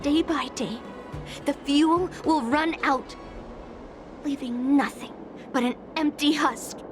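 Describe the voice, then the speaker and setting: A woman's voice: high-pitched, takes it slowly. An actress voicing a fierce, stubborn young swordswoman in a dubbed game cutscene, speaking bluntly and with determination. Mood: grim. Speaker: game character. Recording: game audio over loud music.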